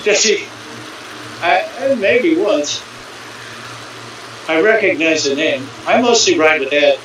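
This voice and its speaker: A man's voice: on the high side, animated, takes it slowly, a bit bright, very echoey, recorded from a distance, a little hiss or hum, rough recording. A man in his sixties from California, chatting casually about cycling and skiing with dry, self-mocking humor. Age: 60s. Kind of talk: casual chat.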